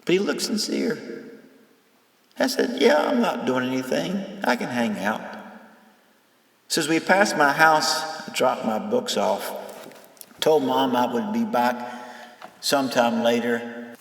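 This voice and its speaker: A man speaking as a storyteller and informal preacher, earnest and folksy, with emphatic pauses. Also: medium pitch, animated, a bit bright, slightly rough. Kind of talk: dramatized first-person storytelling turning to informal sermon. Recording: music bed under the voice. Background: music.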